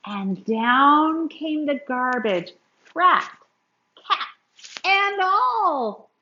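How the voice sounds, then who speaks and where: A woman reads a picture book aloud in a high, very animated voice. She lingers on words for dramatic emphasis, as a storyteller does for young children. The sound is a little dull and lo-fi. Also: very slow, reverberant.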